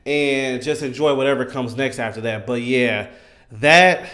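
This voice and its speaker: A man in his thirties giving casual, enthusiastic fan commentary in an animated, emphatic, slangy way. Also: mid-range voice, a little slow. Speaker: gaming content creator.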